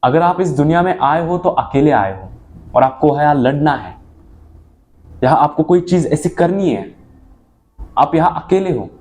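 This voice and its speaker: A young man speaking an earnest motivational monologue to his viewers, mixing in English phrases and swinging between emphatic and low moments. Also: animated, unhurried, long pauses, darkish tone. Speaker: motivational vlogger.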